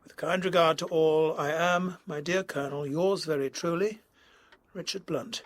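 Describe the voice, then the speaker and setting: An actor playing a genteel, anxious colonel in a period audio drama, formal and earnest. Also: male voice, a little high, fairly even intonation, moderate pace, natural voice. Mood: measured, reading.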